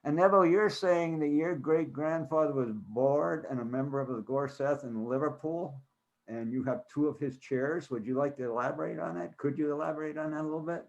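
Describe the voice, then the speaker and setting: A man's voice: fairly high pitch, a little fast, gravelly, highs cut off. Man moderating an informal online panel. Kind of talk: casual panel discussion hosting. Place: video call.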